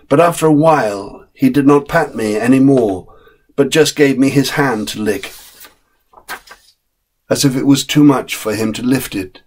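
Husky man as a loyal storybook dog. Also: medium pitch, a little mellow. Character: loyal, plain-spoken dog narrating its own story. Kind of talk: dramatic short story reading.

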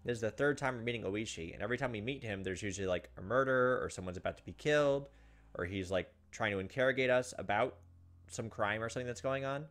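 A man, perhaps in his thirties, reading visual novel narration aloud and commenting, with dramatic, halting delivery. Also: lowish voice, fairly bright tone. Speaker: gaming video host. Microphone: desk microphone close up. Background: game music.

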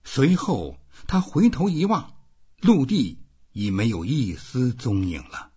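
A man's voice: medium pitch, wildly swooping pitch, slow pace, a little mellow, husky, highs cut off. A man reading scripted literary narration as an audiobook narrator.

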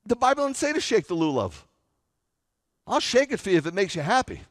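A man's voice: high-pitched, very animated, fairly quick. A middle-aged American man preaching as a rabbi, conversational and wry, leaning on repetition and rhetorical questions for emphasis. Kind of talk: sermon. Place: large sanctuary, at a pulpit before seated listeners. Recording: reverberant hall sound.

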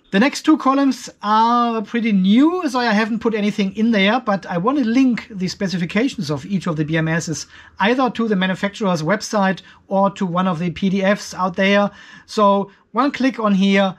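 A man in his fifties giving a casual, step-by-step explainer to camera as a video host, with informal, emphatic phrasing. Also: sits high. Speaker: host of an off-grid solar and battery channel. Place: cluttered garage workshop.

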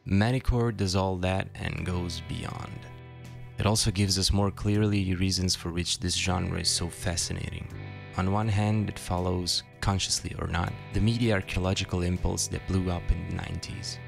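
A man's voice: low-pitched, steady tone, normal speed, vocal fry. A man narrating a scripted video essay with dry, wry asides. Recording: music behind the voice.

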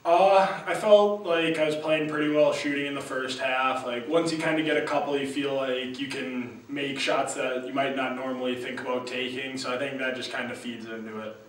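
A young man, a college basketball player, giving relaxed, casual postgame answers. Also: mid-range voice, normal speed, hardly any pauses. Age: young adult. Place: small room at a team backdrop. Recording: very echoey room, distant mic, faint hiss.

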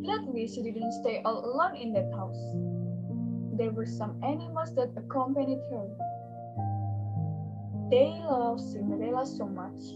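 A woman's voice: on the high side, highs cut off. A young woman giving a scripted narration of a storybook tale. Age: young adult. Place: indoors on a video call.

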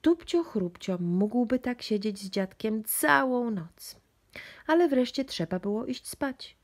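A woman's voice: on the high side, lively intonation, slow pace. A woman narrating a bedtime storybook expressively for young children.

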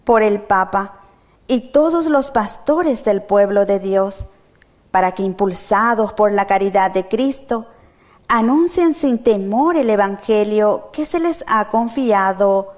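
A middle-aged woman with a Paraguayan Spanish accent, reading the prayers of the faithful in a slow, measured way. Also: mid-range voice, expressive, darkish tone. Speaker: reader of prayers. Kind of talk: reading intercessions at Mass. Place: small chapel, indoors.

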